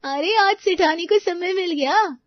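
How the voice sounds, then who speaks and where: Here is a playful young woman friend, acted by a female storyteller, pitched high and full of teasing exclamations. She belongs to a moral story, and the sound is slightly dull. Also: a little slow, no room sound. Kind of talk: Hindi moral-story character dialogue.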